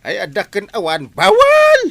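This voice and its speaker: A man's voice: high voice, wildly swooping pitch, measured pace, gravelly, background noise, full character voice. A man playing a bossy, exasperated village captain in a comedy skit, scolding emphatically. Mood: stern. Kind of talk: comedy skit acting in a Philippine language.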